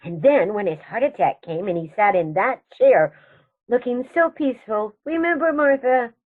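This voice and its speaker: Genteel elderly aunt, female actor, radio stage comedy: serene, chiding, very expressive melody, slightly lo-fi sound. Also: a little high, moderate pace. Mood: wistful, gentle. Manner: prim, gently chiding, unruffled.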